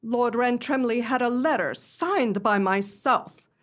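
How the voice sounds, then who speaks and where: A woman's voice: a little high, slow pace. A woman playing a wronged countess in a radio play, speaking with formal, earnest dignity. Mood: distressed, pleading.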